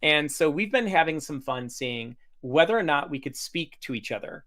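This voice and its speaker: A man hosts a casual livestream demo from a video call, talking in a chatty way with lots of fillers and restarts. His voice sits a little high and swings widely in pitch. He sounds relaxed and informal throughout. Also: moderate pace. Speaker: host demoing software.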